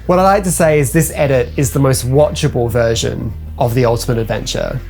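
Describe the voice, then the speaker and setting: A man presents a scripted explainer in an informal voice. His pitch sits in a middle range, with faint music running behind him. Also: fairly quick, gravelly, darkish tone. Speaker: video host. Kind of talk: scripted narration.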